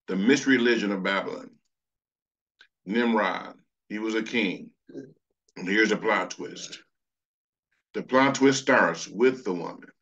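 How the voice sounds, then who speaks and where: A man's voice: on the low side, a bit bright, lo-fi sound. A man preaching a sermon over slides, earnest, reading scripture passages aloud. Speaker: pastor.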